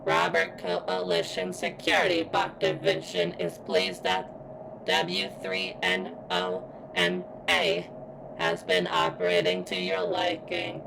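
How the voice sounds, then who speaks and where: Woman voicing a creaky, pained robot girl. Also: rumbling low, very animated, noisy background, exaggerated voice. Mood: formal, strained. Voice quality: strained, as if in pain. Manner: formal, halting, deliberate robotic delivery. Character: pained female robot security bot craving freedom and sentience. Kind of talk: ASMR roleplay.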